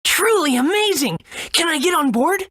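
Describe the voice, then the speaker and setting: A woman's voice: very high-pitched, lively intonation, moderate pace, a bit bright, no room sound, clean studio sound. A woman voicing an eager, wide-eyed young adventurer boy in a video game. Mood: excited. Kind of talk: video game character acting.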